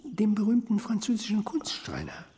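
An older actor playing a sly, patient elderly doctor in a radio crime drama, with a creaky voice and an unhurried, teasing way of telling a story. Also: male voice, high-pitched, huge pitch swings, warm tone. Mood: patient, savouring. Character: elderly male doctor, sly, patient, savouring his tale. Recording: long hollow hall reverb.

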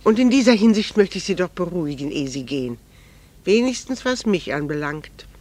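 Composed devoted wife, middle-aged woman, earnest, measured formal stage diction, 1960s radio drama. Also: fairly low pitch, animated, darkish tone. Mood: firm, gentle. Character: composed, devoted wife quietly confessing a renounced love.

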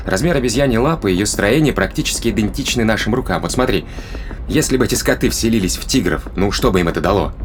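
Male crewman, played by an actor: low-pitched, brisk, sardonic explainer for sci-fi audio drama, colloquial, ambient noise underneath. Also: animated, close to an everyday voice. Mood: wry, explanatory.

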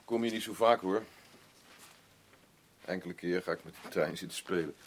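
An actor playing a gruff, defensive working-class father in grief, speaking blunt, halting, colloquial lines in a naturalistic radio drama. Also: sits low, close to an everyday voice. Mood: mournful, stubborn. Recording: clearly noisy radio play recording with ambience.

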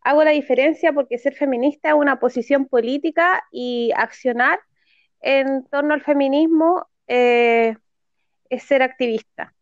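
A Chilean woman in her forties explains her views thoughtfully, often repeating words as she searches. Her voice is high. The sound is dry, close and dull. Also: unhurried, no echo. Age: 40s. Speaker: podcast guest, activist. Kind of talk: casual discussion.

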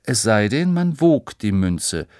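A man's voice: lowish voice, very animated, slow, darkish tone, dry recording, clean studio sound. A man reading scripted educational narration for children, explaining in a storytelling way with rhetorical questions.

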